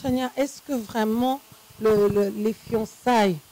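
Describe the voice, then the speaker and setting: Female host of a faith talk show, slow and measured, dry close sound. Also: fairly high pitch, steady tone, mellow, no echo, low-quality recording. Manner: slow, measured, with frequent hesitations.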